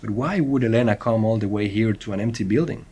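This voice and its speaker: A man's voice: on the low side, fast, warm tone, husky. A man playing a serious, wary Spanish-speaking monster hunter in an audio drama, with terse and formal phrasing. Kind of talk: horror audio drama acting.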